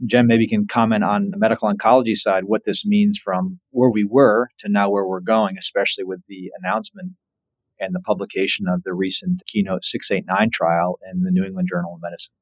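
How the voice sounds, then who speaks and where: Low-voiced man explaining as an interview guest. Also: brisk, dry recording, poor audio. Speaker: surgical oncologist, podcast guest.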